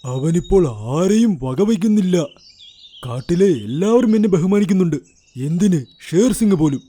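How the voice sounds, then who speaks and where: A man voicing an aggrieved, respected old grandfather elephant who complains in an animated fairy tale. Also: sits high, moderate pace. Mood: hurt, indignant. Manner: indignant, complaining. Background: music.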